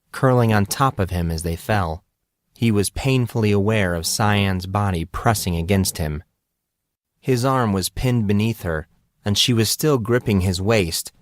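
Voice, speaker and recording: Low-voiced man narrating fiction. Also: dry recording, clean studio sound. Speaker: audiobook narrator.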